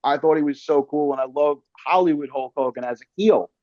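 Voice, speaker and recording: A man with a slightly high voice chats casually as a guest, telling stories with animation and plenty of slang and swearing. The recording is dry and a little dull. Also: fairly quick, no room sound. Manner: animated storytelling, swears freely; slangy, calls listener man and brother. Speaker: interview guest, actor and filmmaker. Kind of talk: casual chat.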